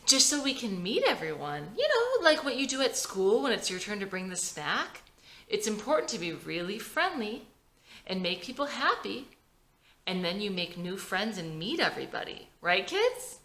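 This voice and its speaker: A woman's voice: mid-range voice, sing-song, normal speed, a bit bright, roomy sound, pitched above the performer's own voice. A woman playing an impatient young mother with forced cheer in a family drama script.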